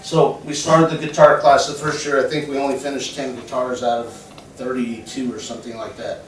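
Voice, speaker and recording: A man's voice: mid-range voice, level tone, fairly quick, a little hiss or hum. Plainspoken male shop teacher, very echoey. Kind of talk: addressing parents at a school open evening. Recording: very echoey classroom from far off.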